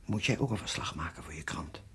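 A man's voice: low voice, lively intonation, fast, fairly bright tone, whispered. An actor playing a blunt but protective male newspaper reporter in a radio play. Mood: surprised, concerned.

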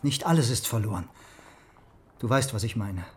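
An actor playing an earnest, loyal religious dissident in a German radio drama, speaking gravely and persuasively. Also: male voice, on the low side, moderate pace, a little mellow, slight background noise, lightly acted. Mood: earnest, consoling.

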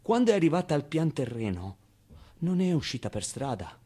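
Stage monologue: candid orphan kid, slightly high voice, halting, confiding, streetwise yet tender. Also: male voice, sing-song, mellow, slightly rough. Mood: hushed storytelling. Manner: halting, confiding, colloquial, with small interjections. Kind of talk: theatrical monologue, storytelling to the audience.

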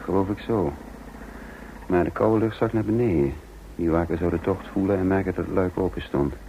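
A man's voice: sits low, fairly quick, dark tone, dull audio. A man playing the careful, commanding chief of a break-in team in a radio drama, terse and controlled. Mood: commanding, cautious. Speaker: narrator and lead character. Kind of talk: radio drama acting and narration. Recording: radio drama with faint background noise.

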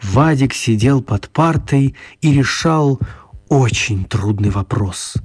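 Husky male storyteller, mock-serious. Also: medium pitch, expressive, slow pace, no echo. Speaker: narrator. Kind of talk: scripted story narration for children's radio play.

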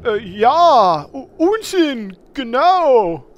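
A woman acting a timid, slow-thinking, hesitant adventurer in a comedic fantasy audio drama. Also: on the high side, sing-song, muffled recording, full character voice. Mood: unsure. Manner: hesitant, stammering.

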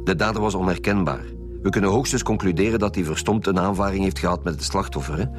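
Low-voiced Flemish squad chief played by a man. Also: fast talker, soft background music, close to an everyday voice. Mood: jovial, measured. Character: brisk, commanding male homicide squad chief. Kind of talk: naturalistic radio drama acting.

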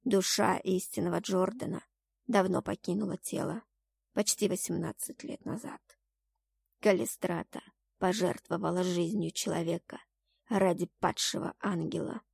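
A woman voicing a solemn male god in a fantasy audiobook, grave, measured and commanding. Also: lowish voice, steady tone, vocal fry, a little mellow, husky, voice pushed down, exaggerated voice. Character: stern, solemn male god pronouncing grim destiny. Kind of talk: dramatic fantasy audiobook acting.

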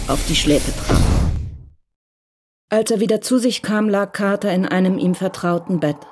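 A woman narrating a radio crime drama in a measured, even storytelling voice. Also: fairly low pitch, background noise.

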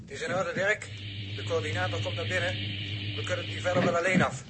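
An actor playing a brisk, businesslike spaceman reporting over a radio intercom in a 1960s radio play. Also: sits high, flat delivery, loud music behind the voice. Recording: very noisy crackling radio link.

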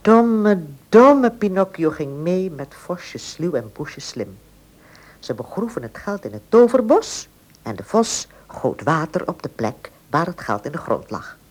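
Very animated female storyteller. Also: fairly low pitch, unhurried. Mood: storytelling. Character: storyteller of a children's fairy tale. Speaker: narrator. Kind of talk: children's storybook narration. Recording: faint cassette tape noise.

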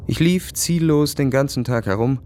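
A man's voice: medium pitch, moderate pace, a little mellow, natural voice. An actor playing a cynical, self-satisfied young aristocrat who narrates his conquests in a detached, ironic way in a radio drama. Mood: cold.

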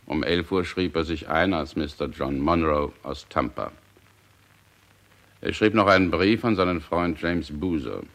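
A man's voice: rumbling low, a little slow, fairly bright tone, heavily acted. A man in a radio play, playing a matter-of-fact harbour police inspector giving a report.

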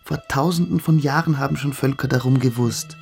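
A man's voice: medium pitch, fairly quick, vocal fry, a little mellow, soft background music, lightly acted. A man in a radio play, playing a fanatical, brooding schoolboy philosopher who lectures with pompous intensity. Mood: fervent lecturing.

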